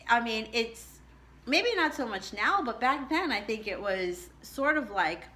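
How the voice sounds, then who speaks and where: A middle-aged woman with a low contralto voice telling a candid personal story in a chatty way, laughing often. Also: animated, a little slow, bright, forceful tone. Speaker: vlogger.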